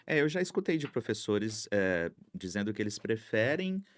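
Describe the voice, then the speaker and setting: A man with a Brazilian accent hosting a relaxed talk, asking questions in a casual conversational way. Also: lowish voice, normal speed, a little hiss or hum. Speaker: podcast host, interviewer.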